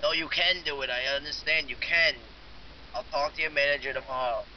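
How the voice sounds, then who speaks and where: A man's voice: a little high, fairly even intonation, fairly quick, natural voice. Man posing as pushy technician, muffled hissy call. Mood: urgent, pleading. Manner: insistent, pleading, repetitive. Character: pushy, insistent male phone security-company technician. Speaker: prank caller. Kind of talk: prank phone call. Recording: dull lo-fi phone recording with hiss.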